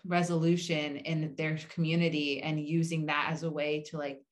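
A woman's voice: low voice, level tone, normal speed. A woman of about thirty who stutters, moderating a casual panel talk with occasional part-word repeats. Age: around 30.

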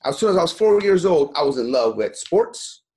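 A man's voice: on the high side, very animated, normal speed, darkish tone. A man in his forties from Iran, talking as an interview guest in a blunt, profane, fired-up way, repeating "you know" constantly. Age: 40s. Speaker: guest, gym owner. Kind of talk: motivational interview talk.

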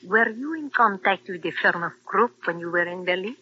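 A woman's voice: mid-range voice, lively intonation, a little fast, pushed and bright, slight background noise. An actress playing a scheming woman fascist agent with coaxing, formal foreign phrasing, in an old radio drama. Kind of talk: 1940s radio acting. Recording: dull old radio recording.

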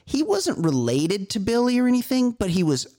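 A man's voice: high voice, moderate pace. A man from California co-hosting a comedy podcast, chatting casually and telling rambling stories full of fillers. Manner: rambling, animated storytelling with many fillers. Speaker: podcast co-host. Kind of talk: casual chat.

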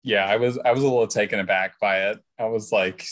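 A man with a slightly raspy voice, chatting casually and jokingly as a podcast guest. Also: fairly low pitch, fairly even intonation, quick pace, fairly bright tone. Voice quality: a little raspy. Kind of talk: casual chat.

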